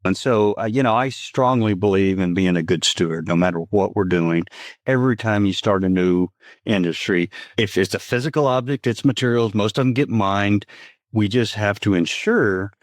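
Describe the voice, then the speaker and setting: A man's voice: fairly low pitch, moderate pace, dry recording, clean studio sound. A man in his fifties from Texas with a light Texan accent, a company founder explaining his work earnestly and steadily in a podcast interview.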